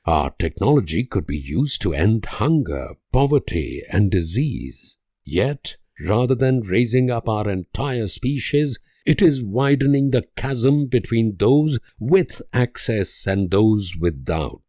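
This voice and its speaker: An actor playing an idealistic, world-weary diplomat, delivering grave, deliberate speeches and letters in a strategy game. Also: male voice, on the low side, sing-song, warm tone, no room sound, clean studio sound, exaggerated voice. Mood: solemn appeal.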